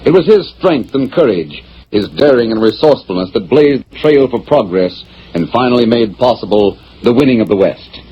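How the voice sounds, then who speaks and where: A man's voice: medium pitch, animated, moderate pace, lightly acted. An American man narrating a 1940s radio western as a stirring announcer, grand and theatrical. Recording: muffled, noisy 1940s radio recording, music behind.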